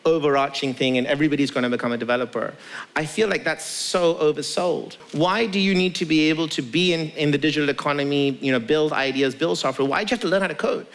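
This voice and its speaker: A man with a fairly high voice and a strong creak talks in a conversational interview style. He makes his points emphatically, repeating phrases as he explains. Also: fast. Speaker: tech company founder, guest.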